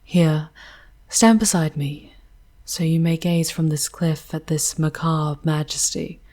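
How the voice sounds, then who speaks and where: A woman voicing a charming, wistful female demon guide in an ASMR roleplay, with a breathy, whispery voice and poetic, formal speech. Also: deep voice, fairly even intonation, warm tone. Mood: solemn, guiding. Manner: slow, poetic, formal diction. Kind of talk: ASMR roleplay, soft theatrical narration.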